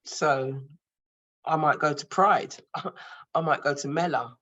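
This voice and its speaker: A woman with a British accent speaking thoughtfully and haltingly in an online group discussion, with many fillers. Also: sits low, fairly bright tone, muffled recording. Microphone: video call.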